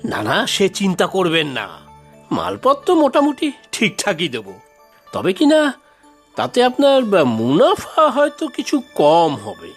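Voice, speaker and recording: A man's voice: high-pitched, wildly swooping pitch, a little slow, soft background music, heavily acted. A man voicing a bossy, scheming site manager in a cartoon, fawning or scolding. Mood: fawning, reassuring. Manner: obsequious to superiors, scolding to workers. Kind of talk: cartoon voice acting.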